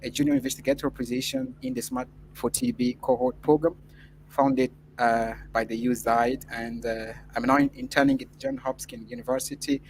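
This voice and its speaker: A man's voice: mid-range voice, a little hiss or hum, low-quality recording. A man whose first language is Portuguese, answering a mock scholarship interview in a formal, earnest way with frequent filler sounds. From Mozambique. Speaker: interview candidate.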